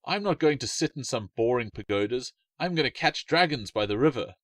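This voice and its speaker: A man's voice: mid-range voice, animated, brisk, no room sound, natural voice. A man voicing a mischievous little boy who teases and defies his sister. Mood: defiant.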